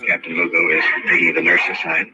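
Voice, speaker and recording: A man's voice: sits low, monotone, fast. A man reporting on the scene for a radio documentary, narrating in terse, clipped phrases. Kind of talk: hushed documentary radio narration of live events. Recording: noisy, dull old radio recording.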